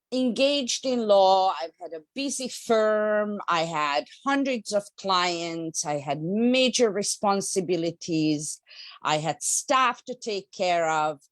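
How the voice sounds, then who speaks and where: A woman's voice: mid-range voice, no room sound. A middle-aged woman from Hungary, a guest speaker telling her personal story in an earnest way on a talk show.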